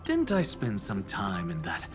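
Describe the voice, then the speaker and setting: A man voicing an arrogant, philosophical professor in a video game, with a theatrical and deliberate delivery. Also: on the low side, wildly swooping pitch, soft background music. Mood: provocative, musing. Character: arrogant, philosophical male scholar, blasphemous professor.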